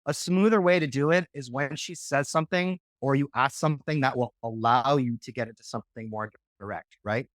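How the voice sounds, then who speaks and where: A man's voice: mid-range voice, brisk, few pauses, fairly bright tone, no room sound, clean studio sound. A man coaching on dating in a podcast interview, talking casually and often checking in with "right?".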